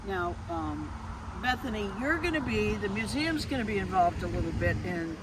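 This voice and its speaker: A woman interviewer talks in a casual, chatty manner at a middling pitch. She is recorded outdoors, with clear street and traffic noise behind her. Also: very animated, normal speed. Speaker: interviewer, host.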